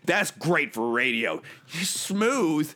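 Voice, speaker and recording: A man playing a pompous, bright-voiced loudmouth. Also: on the high side, very animated, slow, full character voice. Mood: boastful. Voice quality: gravelly. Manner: pompous, bullying, condescending. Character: arrogant male loudmouth who thinks he's the best. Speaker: recurring comedic guest. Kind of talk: improvised comedy bit.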